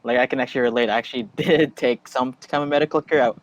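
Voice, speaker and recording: A man's voice: mid-range voice, steady tone, rapid-fire. A man co-hosting an online interview, chatty and casual. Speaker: co-host and producer. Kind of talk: casual chat.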